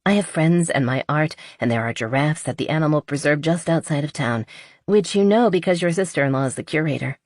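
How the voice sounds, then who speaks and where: A woman's voice: deep voice, brisk, darkish tone, dry recording, studio quality. A woman voicing a playful, chatty, impulsive young woman who is a little tipsy, in a romance audiobook. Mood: warm, candid.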